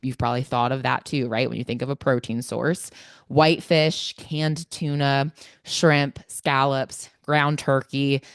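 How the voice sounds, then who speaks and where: A woman's voice: extremely low pitch, steady tone, measured pace, clean tone, no room sound, studio quality. Stuffy-voiced woman podcaster. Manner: chatty, filler-heavy, informal. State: stuffy and congested from illness. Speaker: podcast host and fitness coach. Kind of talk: casual solo podcast talk.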